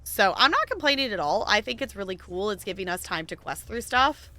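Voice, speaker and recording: A woman's voice: medium pitch, lively intonation, fairly quick, pushed and bright. A woman streaming a game, talking casually and rambling with lots of fillers and small laughs. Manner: rambling, chatty, frequent "like" and laughs. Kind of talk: casual gaming stream chat.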